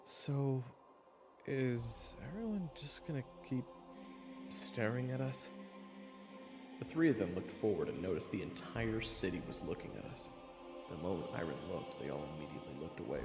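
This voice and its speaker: A man's voice: lowish voice, gravelly, heavily acted. A man playing a sardonic young adventurer, narrating a fantasy audio drama in the first person with wry, conversational expression. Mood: curious, amused. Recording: echoey reverb, loud game music underneath.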